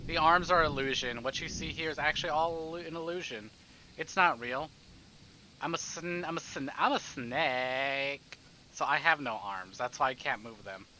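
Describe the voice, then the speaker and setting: A man's voice: a little high, normal speed, bright, forceful tone, a little hiss or hum, low-quality recording. A man hosting a casual livestream, chatting to viewers in a playful, rambling, exclamatory way and often repeating himself. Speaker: livestream host, gamer.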